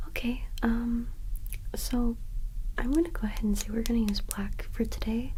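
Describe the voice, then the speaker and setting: A woman whispering softly in an ASMR roleplay as a friendly witch, explaining things in a gentle, informal, hesitant way. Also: medium pitch, a little hiss or hum, rough recording. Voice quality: soft, breathy whisper. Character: friendly modern witch offering a cleansing ritual. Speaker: ASMR performer role-playing a friendly witch shopkeeper.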